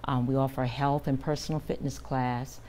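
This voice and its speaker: Very low, dark-voiced older woman. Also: flat delivery, slight background noise. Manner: earnest, measured, with frequent fillers. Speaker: guest, director of a children's camp. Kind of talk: TV studio interview. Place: TV news studio.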